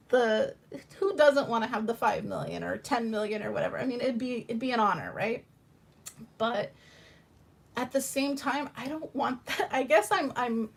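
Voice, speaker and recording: High-pitched raspy woman chatting. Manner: rambling, frank, restarts often. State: hoarse from allergies. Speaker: tarot channel host. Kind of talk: casual livestream chat.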